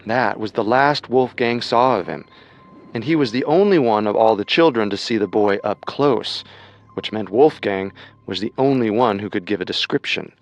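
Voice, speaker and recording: A man narrating a scripted true-crime story with measured, dramatic storytelling. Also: on the low side.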